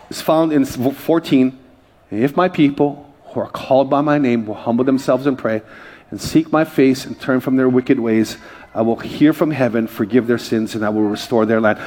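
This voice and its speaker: A male pastor preaching a sermon with energy, reading scripture aloud and calling out to the congregation. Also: mid-range voice. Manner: energetic preaching with crowd call-outs.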